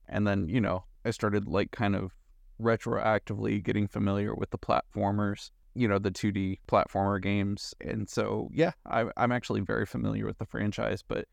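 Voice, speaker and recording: A man's voice: fairly low pitch, vocal fry, no echo, clean studio sound. A young man co-hosting a casual film chat, with wry, slightly wordy phrasing. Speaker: podcast co-host. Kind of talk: casual chat about films.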